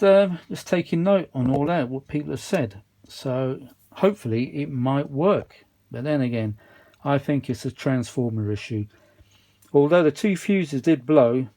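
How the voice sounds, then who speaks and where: A man's voice: medium pitch, warm tone. A man giving casual, unscripted workshop chat as a repair vlogger, rambling along with a mildly weary grumble. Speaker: vintage audio repair vlogger.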